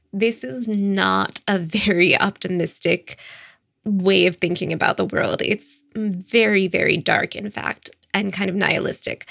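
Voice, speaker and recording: A woman's voice: mid-range voice, creaky, a bit bright, dry recording, studio quality. A woman hosting a book recommendation show, speaking in an articulate, conversational way as a critic. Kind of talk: presenting book recommendations to camera.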